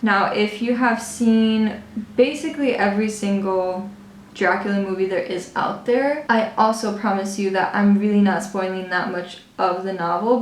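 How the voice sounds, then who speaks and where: Chatty woman in a roomy echo. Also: medium pitch. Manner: chatty, fillers, laughs at herself. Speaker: book and makeup vlogger. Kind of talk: casual book review talk. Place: lives in Budapest.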